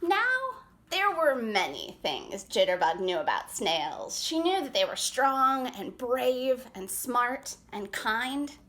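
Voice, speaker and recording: A high-voiced woman narrates a picture book for children. She reads slowly and expressively, leaving dramatic pauses between phrases. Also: sing-song, bright, forceful tone. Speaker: children's author reading aloud.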